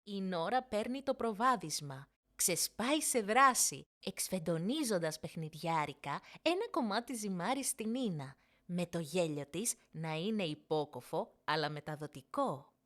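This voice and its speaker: A woman reading a children's audiobook as narrator, in an expressive scripted storytelling style. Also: fairly low pitch.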